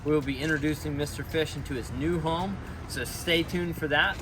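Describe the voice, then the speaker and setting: A man hosting a casual building vlog, explaining his outdoor job site work step by step in a relaxed, upbeat way. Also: fairly high pitch, normal speed. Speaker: pond builder, show host.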